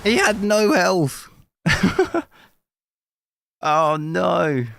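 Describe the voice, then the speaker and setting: A man streaming a video game live, talking casually through the settings, with a hoarse voice he says he is losing. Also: high-pitched, sing-song, drawn-out words. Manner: thinks aloud casually, bursts into loud dismayed cries. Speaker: gaming streamer. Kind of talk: live gameplay commentary. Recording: noticeable background noise.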